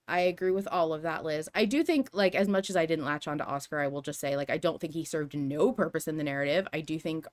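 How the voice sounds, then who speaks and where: A woman hosting a casual fan discussion podcast, talking in an animated, rambling, emphatic way. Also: fairly low pitch. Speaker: podcast host.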